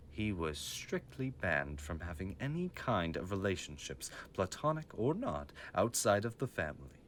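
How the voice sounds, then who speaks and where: A man's voice: sits low, sing-song, moderate pace, a bit bright, slight background noise. A man playing a stern, commanding mafia father in an audio drama, speaking with formal, clipped authority. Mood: stern, suspicious.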